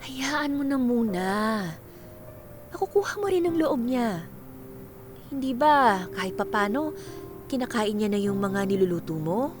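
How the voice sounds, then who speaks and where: A supportive female confidante in a radio drama. She is gently reassuring, with a fairly high voice over clearly audible music. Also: expressive, a little slow, long pauses.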